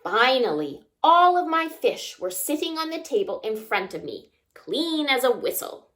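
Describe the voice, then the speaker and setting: A woman reading a children's picture book aloud expressively, pausing to ask young listeners simple questions. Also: sits high, sing-song, fairly bright tone. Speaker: children's story time reader.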